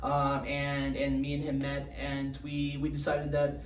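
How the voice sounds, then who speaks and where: A man's voice: medium pitch, monotone, a little slow, far from the mic. A young man from Houston, a campaign manager, answering interview questions in an easygoing way with many fillers. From Houston, Texas. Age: young adult. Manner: easygoing, many fillers, chuckles when talking about his school. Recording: roomy sound, away from the mic, faint hum.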